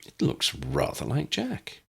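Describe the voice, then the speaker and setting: A man voicing a wry, kindly old man with measured delivery in an audiobook. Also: medium pitch, huge pitch swings, vocal fry, fairly bright tone, breathy edge, pitched above the performer's own voice, heavily acted. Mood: wry, curious. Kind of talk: audiobook character reading.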